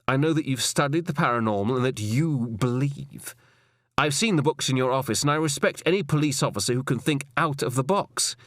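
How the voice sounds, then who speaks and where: A man's voice: on the high side, a bit bright, no echo, clean studio sound. A man voicing a cold, stern, scornful senior policewoman in an audiobook, with a clipped, authoritative, lecturing manner. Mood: firm, reasoning. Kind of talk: audiobook character dialogue.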